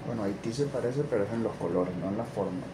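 A man in his thirties chatting casually and haltingly, as if muttering to himself, in a small room. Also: on the low side. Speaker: video host. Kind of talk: casual unscripted chat. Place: small indoor room with bare walls. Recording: clearly noisy background hum.

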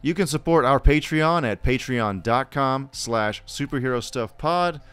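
A man narrates from a script as a podcast co-host. His voice is clear and smooth, at a middling pitch. The sound is dry, with music underneath. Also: a little slow, fairly bright tone, no echo.

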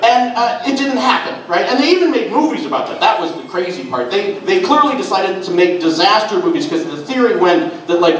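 A middle-aged man performing a sardonic stand-up comedy set on a small club stage, with deadpan asides and lots of "uh" fillers. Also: high voice, brisk, few pauses. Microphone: handheld mic, filmed from the back of the room. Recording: echoey club audio from far off. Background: audience laughter.

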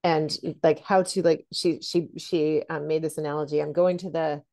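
A woman's voice: deep voice, fairly even intonation, normal speed, gravelly, dark tone. A middle-aged woman co-hosting a podcast over a video call, talking in a chatty way with lots of fillers. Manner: chatty, many fillers like "like" and "you know". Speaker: podcast co-host.

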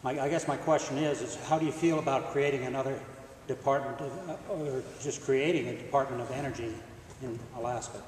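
A man from the audience puts a question to the candidate, stumbling over and repeating his words. He is heard from far off in a reverberant gymnasium, with steady background hiss. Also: mid-range voice. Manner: halting, repeats words while forming a question. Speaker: audience member asking a question. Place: large school gymnasium. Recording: echoey gym from far off.

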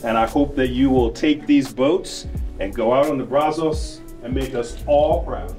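A man's voice: mid-range voice, measured pace, darkish tone. A longtime rowing coach addresses young rowers in a heartfelt way. His voice sits mid-range with faint music underneath. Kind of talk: speech to students.

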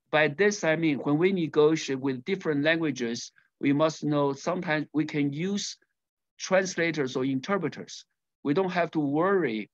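A man's voice: fairly high pitch, dry recording. Chinese-accented man presenting, dull call audio. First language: Mandarin Chinese. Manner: hesitant, rephrases often, laughs between points. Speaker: conference presenter. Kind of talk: academic presentation over video call. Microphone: video call microphone.

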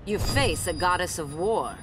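A woman's voice: lowish voice, expressive, a little slow. An actress playing a proud, commanding female warrior goddess in video game fight banter, formal in her phrasing. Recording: game audio over loud background noise.

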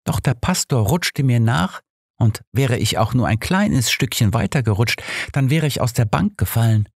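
A man's voice: medium pitch, animated, normal speed, slightly rough, dry recording, studio quality. Man acting as young girl, frightened, hurt, hesitant, childlike wording, audiobook diary. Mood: uneasy, confiding. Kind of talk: audiobook character reading.

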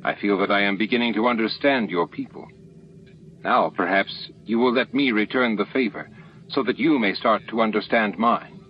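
A man voicing a composed human station commander, polite, deliberate and quietly persistent. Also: fairly low pitch, close to an everyday voice. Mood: diplomatic. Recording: dull lo-fi audio, faint noise.

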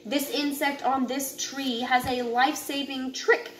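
A woman in her thirties reading a children's picture book aloud in a deliberate, instructive way, now and then stumbling over a word. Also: sits high, steady tone. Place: home living area with kitchen, indoors. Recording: noisy home recording, room ambience.

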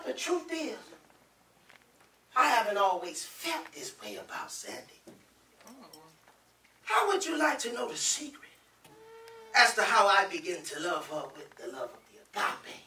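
A man playing a lovestruck, exuberant older husband in a stage play, talking to the audience in a folksy, boisterous way with drawn-out exclamations. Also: high-pitched, wildly swooping pitch, bright, forceful tone, a little hiss or hum, full character voice. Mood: tender, playful. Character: exuberant, lovestruck older husband, married thirty-five years. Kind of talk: theatrical stage monologue to the audience. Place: indoor theater stage with living-room set. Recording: echoey stage, picked up from far off.

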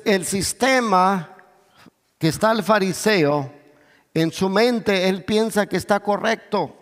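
A middle-aged man preaching in Spanish, with as his language, who peppers his sermon with hallelujahs, calls for amen, and swells toward near shouts. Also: high voice. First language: English. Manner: moves about and gestures on stage, voice swells to near shouts. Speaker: pastor. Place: large church stage. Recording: long reverb of a big church hall.

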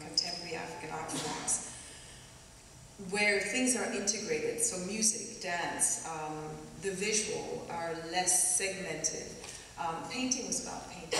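A woman from Kenya with a Kenyan accent, speaking thoughtfully and haltingly as an artist on a panel stage. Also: lowish voice, fairly even intonation, noisy background. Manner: thoughtful, halting, many pauses and restarts. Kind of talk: reflective onstage conversation. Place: stage in a large auditorium. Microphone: stand mic a little away. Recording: echoey hall, distant mic.

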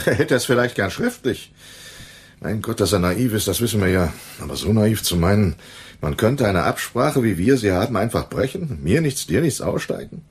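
A shady male dealer in a radio crime drama, sardonic and condescending. His voice is fairly low and husky, with very lively rises and falls in pitch. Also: normal speed.

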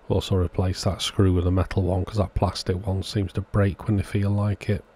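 Low-pitched, mellow man narrating a repair. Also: level tone, a little fast, slight background noise. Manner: matter-of-fact, step-by-step explaining. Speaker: host of a radio repair channel. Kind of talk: informal scripted-sounding voice-over narration of a repair.

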